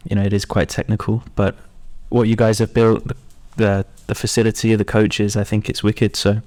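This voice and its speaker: A man's voice: on the low side, level tone, normal speed, a little mellow. A man in his twenties chatting casually as a podcast co-host. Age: 20s. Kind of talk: casual chat.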